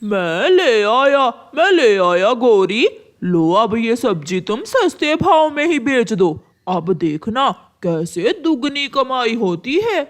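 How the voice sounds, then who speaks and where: A woman's voice: a little high, big pitch swings, takes it slowly, hardly any pauses. A woman voicing a lazy, sly, boastful male crow in a cartoon. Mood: excited.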